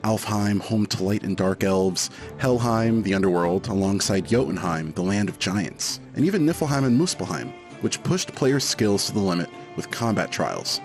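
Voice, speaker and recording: A man's voice: fairly low pitch, animated, normal speed. A man reading scripted narration as a games journalist, with music behind him.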